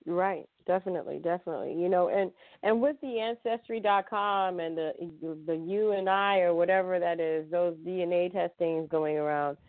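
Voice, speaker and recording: A woman chatting casually on a podcast, animated and exclamatory, who repeats herself for emphasis. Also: fairly low pitch, normal speed, hardly any pauses, dry recording. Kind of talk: casual chat. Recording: tinny phone-line sound.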